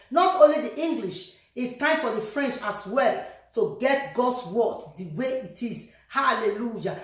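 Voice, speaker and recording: A high-voiced woman pastor preaching in short, paced phrases so an interpreter can follow. She keeps calling out praise words between lines, and her voice rings out in a very echoey room. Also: fairly bright tone. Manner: repeats praise phrases like hallelujah and glory be to God. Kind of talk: sermon with live interpretation.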